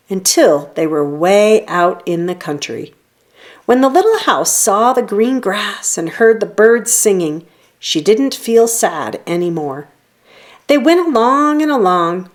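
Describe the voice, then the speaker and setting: A woman reading a children's story aloud in an expressive storytelling manner, leaning on key phrases. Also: medium pitch. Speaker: storybook reader. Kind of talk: children's read-aloud narration.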